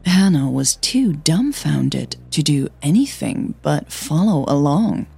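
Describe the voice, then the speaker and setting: A woman narrating audio fiction as the host, in a measured, deliberate storytelling manner. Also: sits low, expressive, creaky, darkish tone, husky.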